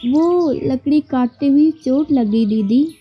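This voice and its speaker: A woman's voice: sits high, slow pace, dull audio. A woman voicing a meek, childlike little-sister bird in a cartoon, trusting and plaintive.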